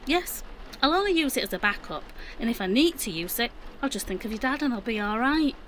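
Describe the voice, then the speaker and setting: A woman's voice: on the high side, lively intonation, a little fast, fairly bright tone, a little hiss or hum. A woman playing a plucky, wry, middle-aged British mum in an audio drama, with a colloquial, dry-humoured delivery. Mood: resolute. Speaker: actor.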